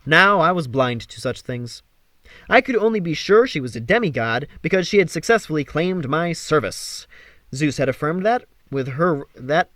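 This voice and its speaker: A man narrates a young-adult fantasy audiobook in a slightly high voice. His intonation swings widely, with emphatic, theatrical phrasing. The sound is dry and close, without room echo. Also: a bit bright. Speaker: audiobook reader.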